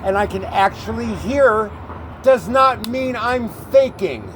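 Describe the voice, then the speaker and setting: Urgent high-pitched man on a noisy street. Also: animated, takes it slowly. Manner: urgent, pleading, swears often. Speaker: unhoused man talking to an outreach worker. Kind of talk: unscripted street conversation. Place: outdoors on a city street. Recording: street noise, traffic outdoors.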